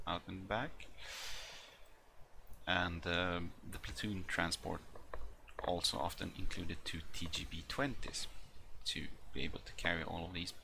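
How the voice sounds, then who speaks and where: Brief: man, deep voice, hesitant walkthrough, noisy home recording. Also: gravelly. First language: not English. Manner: hesitant, halting delivery full of ums. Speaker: hobbyist video maker. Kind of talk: casual walkthrough with many fillers.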